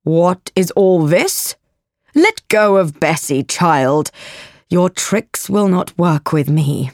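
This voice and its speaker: Stern storybook aunt played by a woman: icy, unhurried, curt orders, no tolerance. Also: fairly low pitch, lively intonation, a little mellow, no room sound, studio quality. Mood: cold, angry. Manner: curt, commanding. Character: cold, stern aunt, strict mistress of the house. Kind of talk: audiobook character voice.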